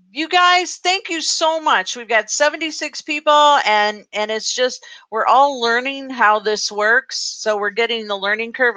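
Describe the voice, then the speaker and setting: Bright-voiced woman hosting a live chat. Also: on the high side, dry recording. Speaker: host of a live video chat. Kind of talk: casual unscripted chat. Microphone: live group video call.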